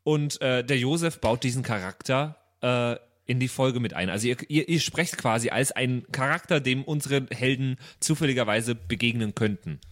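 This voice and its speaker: A man's voice: mid-range voice, normal speed, hardly any pauses, a bit bright. A man hosting a game podcast, making casual, chatty announcements to listeners. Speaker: podcast host and player.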